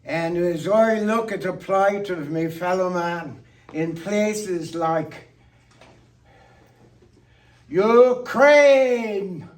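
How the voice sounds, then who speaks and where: A man performing a theatrical monologue of toasts in a playful, tipsy toastmaster manner with deliberate pauses, recorded on a distant microphone. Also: high voice.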